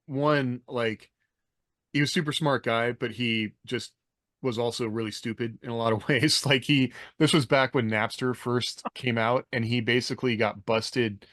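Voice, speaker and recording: A man with an American accent talks informally, as a podcast co-host would. His sound is close and dry, his pitch is mid-range, and he wanders loosely through his anecdotes. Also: fairly bright tone, no echo. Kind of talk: casual chat, telling anecdotes.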